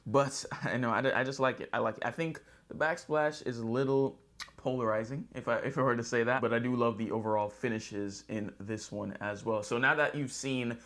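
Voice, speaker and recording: A man with a mid-pitched voice presents a local news recap as a vlog host. He talks like an upbeat real estate agent, chatty and conversational. He addresses his viewers directly. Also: steady tone, fairly quick, fairly bright tone.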